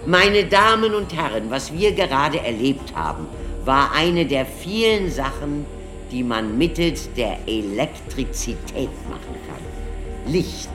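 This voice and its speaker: Slow, theatrical lecturing doctor. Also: male voice, fairly high pitch. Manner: declamatory, deliberate showman addressing an audience. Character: theatrical male doctor lecturing as a showman-demonstrator. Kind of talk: German radio play acting.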